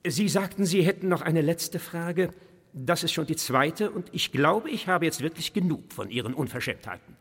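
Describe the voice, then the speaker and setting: Snooty english lord, highish voice, very formal and easily affronted, echoey hall, old-school radio play. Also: male voice, expressive, moderate pace, a bit bright, slightly rough, full character voice. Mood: affronted, dismissive. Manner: formal, condescending, clipped politeness. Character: haughty, indignant English lord, stiffly courteous. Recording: long reverberant hall echo.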